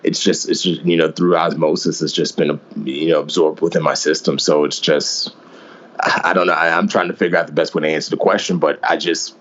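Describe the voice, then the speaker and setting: A man's voice: rumbling low, level tone, brisk, a bit bright, muffled recording. A man who grew up in the Bronx, answering interview questions in a thoughtful way, often repeating words as he thinks. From New York City (Bronx). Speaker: podcast guest, former Navy SEAL and author.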